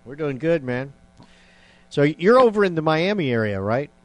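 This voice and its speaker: A man's voice: fairly high pitch, sing-song, moderate pace, no echo. Rambling male radio host. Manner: rambling, full of uh fillers and restarts. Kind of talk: casual radio chat.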